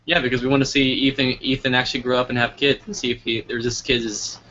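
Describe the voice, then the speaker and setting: A man's voice: medium pitch, level tone, quick pace, bright, forceful tone. An American man interviewing a guest in a casual, chatty style. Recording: lo-fi audio with clear background noise.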